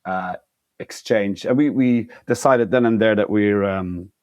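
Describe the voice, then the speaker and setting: Low-voiced Flemish man telling stories casually. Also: unhurried, a little mellow, no room sound, clean studio sound. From Flanders, Belgium. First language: Flemish Dutch. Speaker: podcast guest. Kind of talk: casual anecdotal storytelling.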